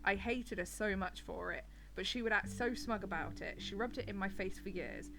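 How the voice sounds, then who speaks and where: A woman's voice: medium pitch, moderate pace, creaky, background noise. A British woman in her thirties with a cold and a slightly nasal voice, chatting casually as she reads stories and comments, given to rambling asides. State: has a bad cold, a bit nasal. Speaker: host reading and commenting on online stories. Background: background music.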